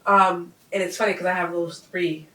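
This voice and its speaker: A woman's voice: on the low side, fairly even intonation, normal speed, pushed and bright, far from the mic. Emphatic woman in an echoey room. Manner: emphatic, frequent "hello" and "girl" interjections. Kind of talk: casual conversation.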